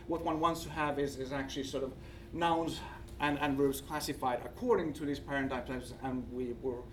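A man with a Finnish accent presents research in a halting way, often searching for his next word. His voice sits at an average male pitch. It is picked up from some distance from the microphone, with faint noise behind it. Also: fairly even intonation, a bit bright. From Finland. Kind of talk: conference presentation with slides.

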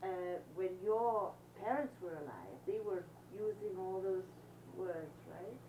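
A woman interviewing someone, asking curious questions and prompting with quick "yeah, right" replies. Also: mid-range voice, animated, background noise. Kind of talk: oral history interview.

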